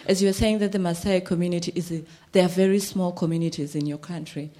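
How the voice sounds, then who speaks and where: A woman's voice: on the low side, fairly even intonation, fast talker, mellow. A woman in the audience offering a spontaneous, encouraging comment at a public discussion. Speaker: audience member.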